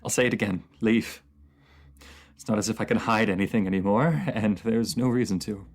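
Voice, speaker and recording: A man's voice: lowish voice, a little fast. A man playing an anguished, proud enemy ruler in a fantasy audio roleplay, speaking in formal, theatrical phrases that halt with emotion. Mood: resigned, bitter. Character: captive enemy ruler, anguished, proud, lovesick, under truth potion.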